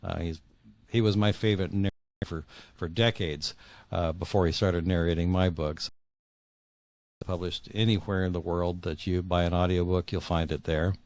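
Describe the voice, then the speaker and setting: A man's voice: sits low, fairly even intonation, moderate pace, a little mellow, no room sound. A man answering interview questions as an author, conversational and hesitant, often rephrasing as he goes.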